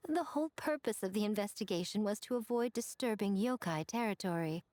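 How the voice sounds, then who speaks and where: A woman's voice: medium pitch, lively intonation, brisk, darkish tone, no echo, close to an everyday voice. An actress playing a gracious, poised female festival official, making formal announcer-like remarks in scripted game dialogue. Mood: formal, reassuring.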